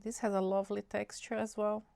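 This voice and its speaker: A woman hosting a casual watercolor tutorial, a bit nasal from a cold, talking in an unhurried, chatty way as she thinks aloud. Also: medium pitch, flat delivery, gravelly, dark tone, no echo. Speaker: art channel host.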